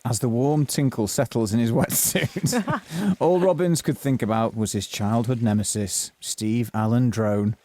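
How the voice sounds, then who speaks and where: A man's voice: mid-range voice, a little slow, mellow. A man narrating a comic audio drama from a script in a mock-serious manner.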